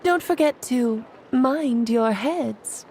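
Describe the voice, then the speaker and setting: A woman's voice: high-pitched, animated, slow, a little mellow, slight background noise, full character voice. An actress playing a playful, self-assured, motherly great mage in a video game, breezy and theatrical, given to lecturing. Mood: playful, teasing. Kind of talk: scripted video game character dialogue.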